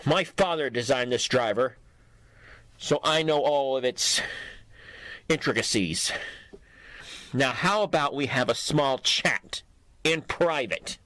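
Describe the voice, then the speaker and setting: A man's voice: mid-range voice, slow, pauses often, a bit bright, no echo. A man playing an earnest superhero rider in a fan audio drama, explaining and challenging. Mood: calm, explaining.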